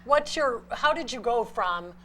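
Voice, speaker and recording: A woman's voice: on the high side, lively intonation, measured pace, pushed and bright. A woman hosting a talk show, interviewing her guests with upbeat, affirming remarks.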